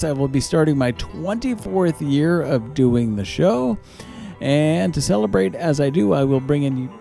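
A man's voice: mid-range voice, vocal fry, dark tone, no room sound, clean studio sound. A man hosting a radio music show, presenting casually as a DJ to his listeners.

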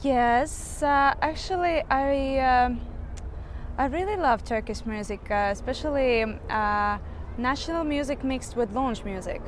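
Slow, halting woman, a coloratura soprano singer. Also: high-pitched. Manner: halting, many fillers, searching for words. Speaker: interview guest, singer. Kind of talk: casual interview answers.

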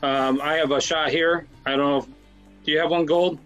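A man's voice: a little high, fairly even intonation, a little fast, fairly bright tone. A man hosting a music podcast, chatting casually and thanking listeners in a friendly way. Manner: friendly, thankful, laughs easily. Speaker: podcast DJ host. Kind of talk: casual radio show chat.

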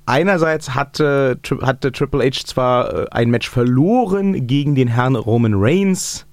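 A man's voice: medium pitch, unhurried, dry recording. A man hosting a podcast in casual chat, with dry, teasing, mock-formal banter. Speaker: podcast host.

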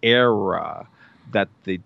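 A man's voice: fairly low pitch, slow pace, vocal fry, dry recording. Male host, casual rambling recap voice, slightly lo-fi dry sound. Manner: rambling, filler-heavy, animated retelling. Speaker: podcast host recapping a TV episode. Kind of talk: casual chat.

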